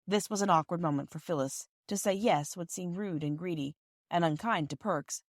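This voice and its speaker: A woman narrates a classic children's storybook in a fairly low voice. The recording is dry and close, with very little room sound. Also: normal speed, no room sound. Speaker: audiobook reader and podcast host.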